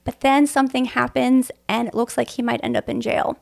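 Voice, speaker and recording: A woman's voice: fairly high pitch, flat delivery, a little fast, vocal fry, darkish tone. A woman guest on an interview, explaining things in a casual, slightly wry conversational way. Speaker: theatre performer guest.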